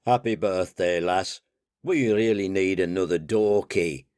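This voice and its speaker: A man's voice: deep voice, measured pace, darkish tone, no echo, studio quality. A man voicing a weary, good-humoured middle-aged father who grumbles and mumbles. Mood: grumbling. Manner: grumbling, mumbling, plain-spoken.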